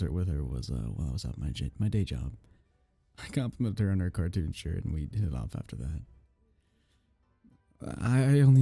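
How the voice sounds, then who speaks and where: Man playing a flustered, husky, mellow geeky fox guy. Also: deep voice, no room sound, full character voice. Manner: halting, stammering, nervously apologetic. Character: awkward, geeky, flustered male kitsune on a blind date. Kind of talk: roleplay audio acting, ASMR.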